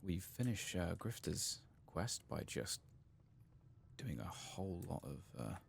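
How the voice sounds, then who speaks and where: A man hosting a gaming video in a soft-spoken ASMR style, sometimes whispering, murmuring his thoughts unhurriedly as he plays. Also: sits low, very animated, creaky, a little hiss or hum. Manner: murmured, unhurried musing to himself.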